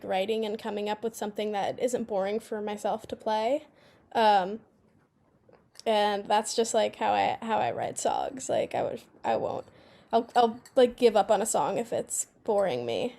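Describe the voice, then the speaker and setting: A woman's voice: medium pitch, vocal fry, dark tone. A young woman speaking as a guest in a casual interview over a video call, talking chattily, filling her speech with fillers and laughing often. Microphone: video call, phone.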